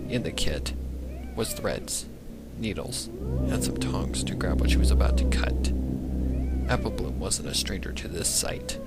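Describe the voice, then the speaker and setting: A man reading a scripted dark story as narrator, in a dramatic, ominous manner. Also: sits low, huge pitch swings, measured pace, over loud music.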